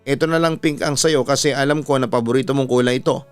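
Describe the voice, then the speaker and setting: A man's voice: mid-range voice, fairly even intonation, fast, clean tone, no room sound, lightly acted. A man with a Filipino accent voicing a bossy, protective mother who nags her children in a dramatised story. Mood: instructing. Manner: nagging, bossy. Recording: music behind the voice.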